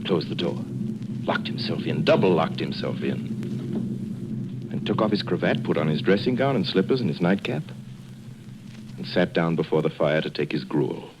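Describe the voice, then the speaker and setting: A man's voice: low-pitched, animated, moderate pace, long pauses. A man giving scripted narration as the storyteller of a radio drama. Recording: dull lo-fi recording, clear background noise.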